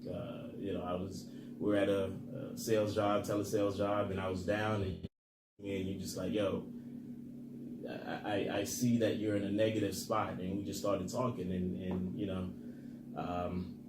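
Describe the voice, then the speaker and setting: A man's voice: sits low, moderate pace. A young man from Haiti, co-hosting a motivational podcast in a casual, earnest way, with plenty of fillers. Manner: relaxed, earnest, many fillers. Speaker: podcast co-host. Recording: echoey room with background noise.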